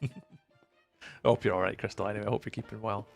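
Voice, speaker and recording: A young Scottish man from the east coast, live-streaming and chatting to viewers in a teasing, up-and-down way, from loud bursts to low mutters. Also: fairly even intonation, quick pace, soft background music. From east coast of Scotland. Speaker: livestreamer.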